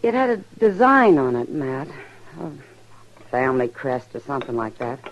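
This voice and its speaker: A woman's voice: a bass voice, sing-song, normal speed, lo-fi sound, slight background noise. An American woman playing a frank, self-possessed saloon keeper in an old-time radio western, direct and unflustered. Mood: confiding, earnest. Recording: vintage radio recording.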